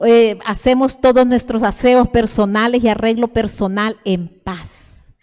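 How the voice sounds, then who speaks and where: An older woman from El Salvador speaks with a Salvadoran Spanish accent as she teaches a sermon to her church. Her delivery is fervent and repetitive, with frequent stumbling restarts, in a fairly high voice. The sound rings with the long reverb of a church hall.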